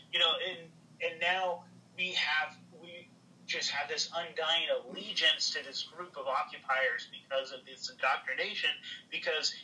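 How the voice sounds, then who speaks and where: A man's voice: a little high, pushed and bright, distant mic, low-quality recording. A man in a casual livestream conversation, rambling and swearing, with lots of "like" fillers. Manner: rambling, heavy on "like" fillers, profane. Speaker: livestream guest.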